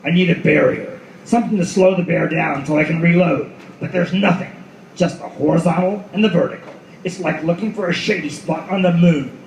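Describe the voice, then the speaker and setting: An actor playing a hard-boiled last detective in an apocalyptic radio play, speaking in a terse, urgent, profane way. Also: male voice, on the high side, a little fast, gravelly, over a noisy crowd. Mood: desperate. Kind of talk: noir radio play reading before an audience. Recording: echoey live room, distant mic, loud guitar behind.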